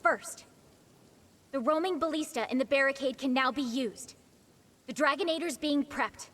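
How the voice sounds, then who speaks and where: An actress playing a confident, battle-hardened woman who briskly guides her hunter partner in a video game. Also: high voice, fairly bright tone. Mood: urgent, resolute.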